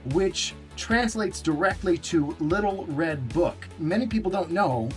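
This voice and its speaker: A young man hosting a commentary video, giving his opinions and explaining things directly to his viewers. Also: a little high. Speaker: YouTube host.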